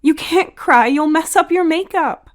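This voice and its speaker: A woman voicing a supportive young sister in a storybook, soft-spoken, reassuring and a little teasing. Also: very high-pitched, measured pace, voice pushed up. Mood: alarmed. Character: supportive older sister, young, playful and tender. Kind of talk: dramatic audiobook character dialogue. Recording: music behind the voice.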